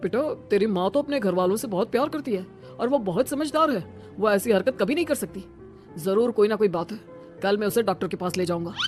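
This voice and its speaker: A woman voicing a trusting, steady middle-aged father in an animated story. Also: medium pitch, a little fast, faint music behind, lightly acted. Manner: steady, reassuring.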